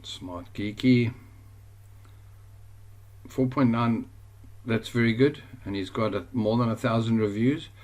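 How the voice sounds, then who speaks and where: A man's voice: medium pitch, slow, pauses often, a little mellow. A man with a South African accent teaching an online course over a screen share, rambling and often correcting himself. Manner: rambling, self-correcting, chatty.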